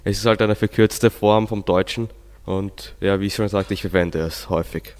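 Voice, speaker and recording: A man's voice: sits low, fairly even intonation, moderate pace, darkish tone. A male teenage student answering informally in a radio interview. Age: teens. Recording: long hall-like reverb.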